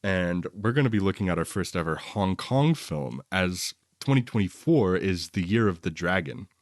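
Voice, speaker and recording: A man's voice: deep voice, animated, normal speed, dry recording, studio quality. A man co-hosting a podcast, casually talking through a film review in a conversational, opinionated way. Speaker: podcast co-host.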